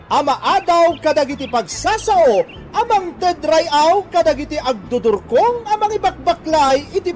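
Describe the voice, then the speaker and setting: A woman introduces a radio drama in a very high, sweeping voice. She is declamatory and emphatic, speaking slowly as an announcer.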